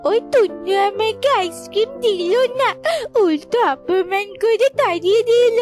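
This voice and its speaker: Woman as a bratty, very high-pitched crow chick. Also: unhurried, darkish tone, faint music behind. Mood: whining. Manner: whiny, demanding.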